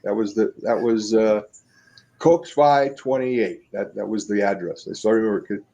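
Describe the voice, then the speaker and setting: A man in his seventies telling stories as a guest in an interview, rambling and halting with many restarts, speaking over a video call from a room at home. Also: fairly low pitch, dark tone, highs cut off. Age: 70s. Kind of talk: casual storytelling.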